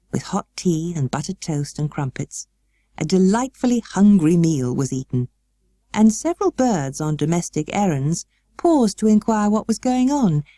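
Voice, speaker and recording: Mellow, expressive British woman storyteller. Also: fairly low pitch, no room sound. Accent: British English. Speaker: audiobook narrator. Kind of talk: expressive scripted storybook narration.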